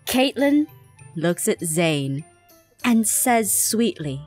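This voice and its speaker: Lively, slow-paced woman narrator. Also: mid-range voice, faint music behind. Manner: teasing, theatrical. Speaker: host and narrator. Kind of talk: playful storybook-style narration of fan fiction with banter.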